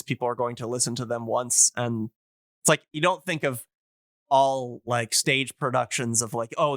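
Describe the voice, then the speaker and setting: A man's voice: mid-range voice, pushed and bright, no room sound, studio quality. A man in a casual podcast chat, explaining things enthusiastically and in a discursive, meandering way.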